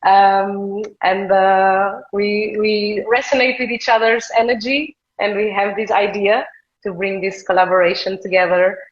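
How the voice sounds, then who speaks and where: A woman from Portugal with a Portuguese accent, hosting a live video conversation in English, pausing often to search for words. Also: mid-range voice, flat delivery, highs cut off. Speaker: host, tantra coach. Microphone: video call.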